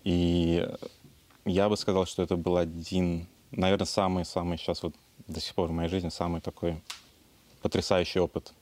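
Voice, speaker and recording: A man with a very low voice talks in a monotone, pausing often and reaching for the right words. He is describing his own meditation experience to a group at a retreat. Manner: halting, searching for words, many fillers. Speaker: retreat participant. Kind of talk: personal testimony.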